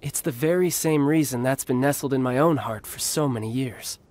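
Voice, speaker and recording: A man's voice: mid-range voice, normal speed, a little mellow, dry recording, close to an everyday voice. A man playing a confident, protective young warrior and older brother in a video game, with a polished, theatrical delivery. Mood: earnest, resolute. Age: young adult. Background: game music.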